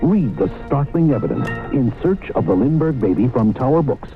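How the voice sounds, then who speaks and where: A man's voice: mid-range voice, lively intonation, moderate pace. A man announcing a commercial in a dramatic 1970s radio advertising style. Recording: tinny old radio, faint music.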